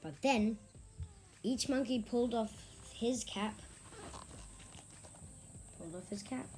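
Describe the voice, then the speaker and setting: A woman reading a children's story aloud in an expressive, theatrical way with drawn-out phrasing. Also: a little high, slight background noise. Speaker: storybook reader.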